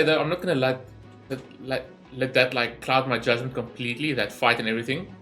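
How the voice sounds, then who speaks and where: A man's voice: medium pitch, pushed and bright. A man giving casual, rambling game reaction commentary in an informal way, as a gaming YouTuber.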